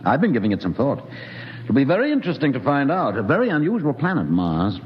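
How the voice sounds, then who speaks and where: An actor playing a calm, fatalistic expedition doctor in a 1950s radio drama, measured and wry. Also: male voice, medium pitch. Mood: musing. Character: calm, brooding male scientist, wry and fatalistic. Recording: lo-fi 1950s radio broadcast with hiss and reverb.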